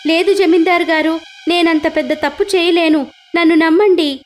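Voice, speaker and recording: A woman voicing a poor devout village woman who cries out high and pleading. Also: fairly even intonation, normal speed, soft background music.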